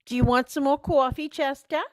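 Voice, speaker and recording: A woman's voice: high-pitched, fairly even intonation, moderate pace, dry recording, clean studio sound, natural voice. A woman playing a nagging, encouraging mother of a grown son in a vintage-style radio play.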